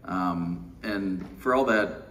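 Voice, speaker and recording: A man's voice: low-pitched, slow pace, fairly bright tone, distant mic. A middle-aged man from Texas giving a wry, self-deprecating conference keynote, full of fillers. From Texas, USA. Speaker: keynote speaker at a pension conference. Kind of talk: live conference speech. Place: large windowless conference room. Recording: conference room, speaker away from the mic, faint room noise.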